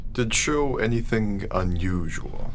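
An actor playing an anxious, analytical, argumentative husband with an earnest, questioning manner in a radio play. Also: male voice, lowish voice, lively intonation, takes it slowly, slight background noise. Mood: guarded.

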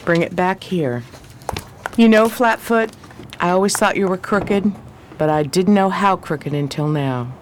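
A shrewd, steely adventuress played by a woman in a 1920s radio drama. She is commanding and slangy, with a somewhat low voice. The recording has faint background noise. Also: a little slow, natural voice. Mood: confident.

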